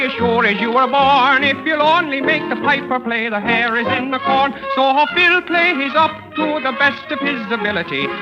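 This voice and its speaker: A man singing a lively Irish comic song on a 1930s radio show. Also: very high-pitched. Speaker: show's singer. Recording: muffled 1930s radio broadcast with music.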